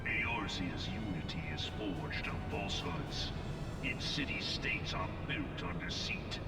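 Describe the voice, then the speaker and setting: Slow pompous warlord villain, cold contempt, game monologue, huge echo and loud music. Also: male voice, lowish voice, expressive. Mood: scornful. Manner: pompous, measured, oratorical. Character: imperious male warlord villain, coldly contemptuous, philosophical. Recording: distant, long echo under loud music.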